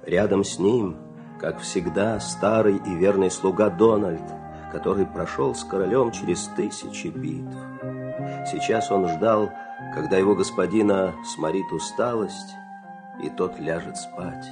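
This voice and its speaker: A man narrating a fairy tale in a measured, expressive, theatrical storytelling style. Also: low voice. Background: music.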